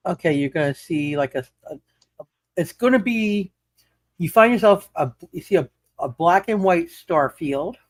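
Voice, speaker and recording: A man speaking casually as game master, setting scenes haltingly and repeating phrases for emphasis. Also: on the high side, lively intonation. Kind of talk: casual live tabletop game narration.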